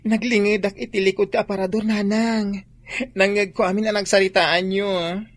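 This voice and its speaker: A woman's voice: mid-range voice, level tone, normal speed, lightly acted. A woman with an Ilocano accent acting a timid, plaintive, effeminate son in a radio drama. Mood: subdued, reluctant. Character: tearful, timid effeminate son, mistreated by father.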